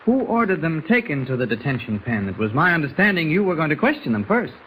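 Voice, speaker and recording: An inquisitive reporter hero in a 1940s radio serial, with a bright, forceful, rather high voice. His delivery is eager and swings widely in pitch, over faint recording noise. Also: sing-song, fast, rough recording. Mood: probing. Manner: eager, probing, polite. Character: keen, inquisitive male newspaper reporter hero.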